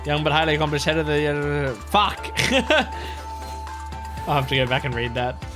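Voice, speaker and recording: A man giving casual reaction commentary in an expressive, exclamatory fan style, swearing casually. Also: a little high, normal speed, music in the background. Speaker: reaction video host.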